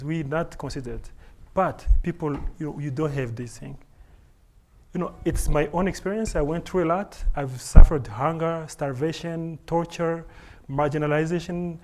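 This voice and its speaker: A man with a somewhat high voice speaks English as a later-learned language. He answers from a conference panel in an earnest, halting way, repeating words as he searches for them. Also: darkish tone. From Africa. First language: not English; speaks French and Swahili. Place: conference hall.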